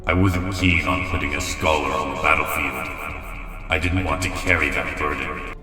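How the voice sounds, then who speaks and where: Grim, commanding robot warlord, extremely low voice, deliberate and proud, music underneath. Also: male voice, bright, forceful tone. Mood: dry, reserved. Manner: formal, stern, deliberate phrasing. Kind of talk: dramatic comic dub acting. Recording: music behind the voice.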